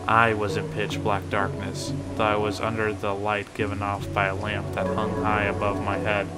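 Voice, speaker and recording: A man's voice: on the low side, rough recording. A man reading a first-person horror story as a creepypasta narrator. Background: music.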